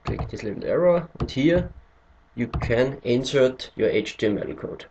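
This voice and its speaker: A man's voice: mid-range voice, sing-song, unhurried, darkish tone, lo-fi sound, a little hiss or hum. A man from Austria, a native German speaker with an Austrian German accent, hesitantly walking through a screen-recorded tutorial in English and searching for words as he goes. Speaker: tutorial maker.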